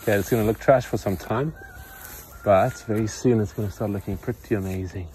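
A man's voice: lowish voice, fairly quick, dark tone, slight background noise, rough recording. A man filming himself on a handheld phone outdoors, talking bluntly and matter-of-factly while he works. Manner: blunt, opinionated, matter-of-fact. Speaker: farmer vlogger. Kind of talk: casual vlog narration while working. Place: outdoors in a yard by a pond. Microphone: phone camera held in hand, point of view.

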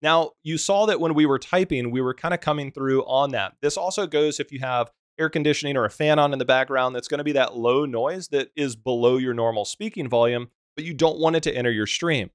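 A man with a deep voice giving a relaxed, practical walkthrough of streaming audio settings. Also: fairly quick, few pauses, smooth, no echo, studio quality. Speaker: streaming tutorial host. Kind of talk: screen-recorded software tutorial.

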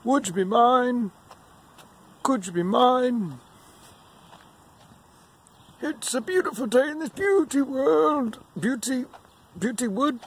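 A man voicing a kindly, forgetful old gentleman puppet in a sing-song, apologetic way. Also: very high voice, expressive, takes it slowly, pauses often, husky, exaggerated voice.

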